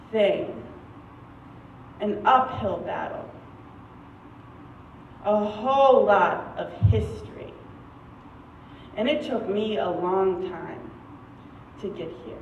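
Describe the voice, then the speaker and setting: A young American woman performing a poetic monologue on stage in a deliberate, measured way with dramatic pauses. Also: medium pitch, a little mellow. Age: young adult. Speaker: student spoken-word performer. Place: stage in a large room. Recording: roomy, distant, dull stage recording, noisy.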